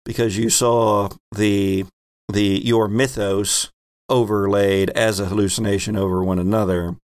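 A man's voice: lowish voice, little pitch movement, unhurried, a little mellow. A man acting as game master, casually explaining things to his players with dry, wry asides.

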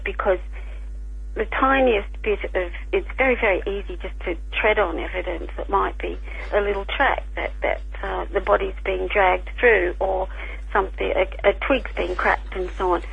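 A woman with a croaky voice, a scientist and author explaining things carefully in a radio interview. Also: on the low side, no room sound. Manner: careful, explanatory, with small restarts. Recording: tinny phone line.

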